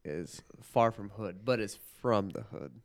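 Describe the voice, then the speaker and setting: Twenties male guest from Illinois, lowish voice, hesitant delivery, dry close sound. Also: lively intonation, dry recording. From Illinois, USA. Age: 20s. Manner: hesitant, halting, mild-mannered. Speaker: police officer, podcast guest. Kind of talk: casual chat.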